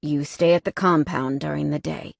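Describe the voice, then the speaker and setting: Stern, controlled biker man, low and threatening, as a woman reads him. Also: steady tone, moderate pace, vocal fry, dry recording, full character voice. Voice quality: low, hissing.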